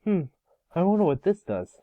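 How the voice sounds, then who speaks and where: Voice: mid-range voice, big pitch swings, normal speed, no room sound, close to an everyday voice. A performer playing a curious, snarky ten-year-old boy hero in an amateur cartoon table read. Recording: muffled, phone-like voice call.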